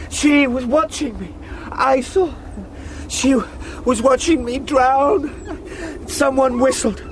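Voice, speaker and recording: An actor playing a terrified young solicitor in a radio drama, breathless, distressed and speaking in halting, broken phrases. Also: male voice, extremely high pitch, a little hiss or hum. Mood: terrified, dazed. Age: young adult. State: distressed, breathless, near crying. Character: terrified, half-drowned young male solicitor.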